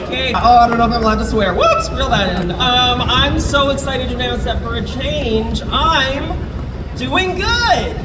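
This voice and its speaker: A man's voice: extremely high pitch, a little slow, distant mic. A man hosting a comedy show, hyping the crowd as he brings on the next comedian. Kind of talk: introducing comedians. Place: comedy club stage. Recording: roomy live audio from afar, crowd noise.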